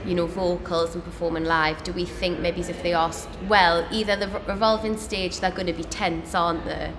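A woman's voice: fairly low pitch, moderate pace, music in the background. A young woman, co-hosting and chatting casually, thinking aloud with lots of hedges. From Gateshead, northeast England. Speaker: livestream co-host. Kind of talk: casual panel chat. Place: indoor shop space with flower backdrop. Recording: reverberant room with steady noise.

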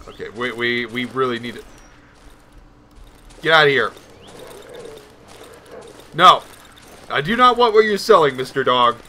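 A man's voice: medium pitch, bright, forceful tone, slight background noise. A man giving casual let's play commentary, rambling nervously and talking to himself as he plays. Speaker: gaming video host.

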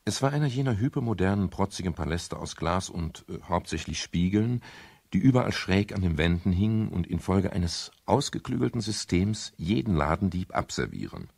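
Gravelly, very deep-voiced private eye. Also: male voice, big pitch swings, moderate pace, a little mellow, full character voice. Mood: dry narration. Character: wry world-weary male private detective narrating his case. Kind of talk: German radio crime drama acting and narration. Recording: faint hiss, 1970s radio recording.

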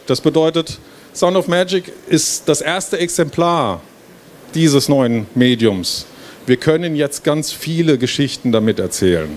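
Middle-aged male presenter, mid-pitched voice, explaining informally to an audience, noticeable fair-hall noise behind. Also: a little mellow. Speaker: game developer presenting. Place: stage at a book fair hall. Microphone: handheld microphone. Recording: clear background noise, fair ambience. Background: trade fair hall ambience.

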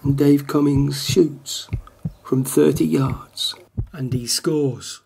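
Male narrator for radio play: actor as devoted, wry football fan, gravelly voice, slight background noise. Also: medium pitch, steady tone, slow, mellow. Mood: fond admiration. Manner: wry, commentary-like storytelling.

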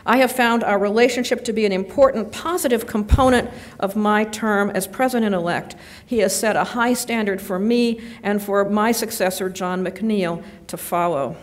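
A woman's voice: medium pitch, normal speed, few pauses. Woman formally introducing a speaker. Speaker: introducer at an academic meeting. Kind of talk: formal introduction read from notes. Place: large hotel ballroom. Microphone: podium microphone.

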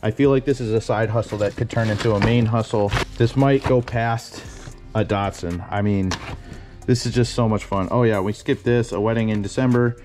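A young man, a storage unit vlogger, chatting excitedly as he digs through boxes in an indoor storage unit on a head-mounted camera mic. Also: on the low side, moderate pace, music in the background. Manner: excitable, keeps exclaiming wow and singing snatches. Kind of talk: excited casual narration while unboxing.

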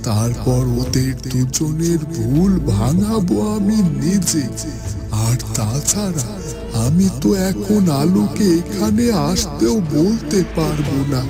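Guy doing caring troubled holy man for a cartoon, really slow pondering speech, loud music under it. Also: on the high side, expressive. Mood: worried. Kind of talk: slow dramatic cartoon monologue.

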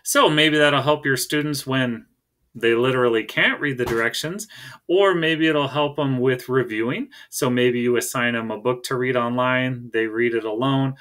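Bright-voiced American man teaching casually. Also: medium pitch, moderate pace. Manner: conversational, checks in with "right?". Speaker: teacher presenting a tech tutorial. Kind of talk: casual screencast tutorial for teachers.

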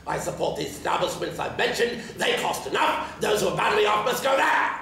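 Man as a gravelly, miserly old man. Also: high-pitched, animated, fairly quick, bright, forceful tone, heavily acted. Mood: scornful, irritated. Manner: curt, biting, contemptuous. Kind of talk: stage period drama. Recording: echoey stage, far off, faint noise.

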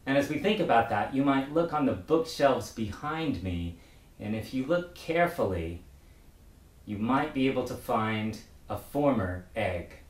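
A middle-aged man, a pastor, delivers a prepared sermon in a measured, reflective way. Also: mid-range voice, very animated. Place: book-lined room indoors. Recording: roomy echo, distant microphone.

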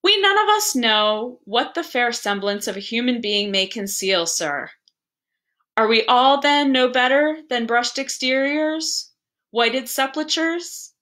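Woman playing a suave, bright-voiced magic shopkeeper man. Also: fairly high pitch, lively intonation, takes it slowly, pitched above the performer's own voice, heavily acted. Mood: slyly polite. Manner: polite, suave, slyly insinuating. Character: suave, mocking male magic-shop keeper.

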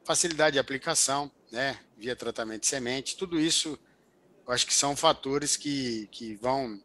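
A man's voice: mid-range voice, normal speed, fairly bright tone. An older man, an agricultural researcher, explaining things in a remote interview over a headset, with many fillers and restarts. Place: home office indoors. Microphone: headset over video call.

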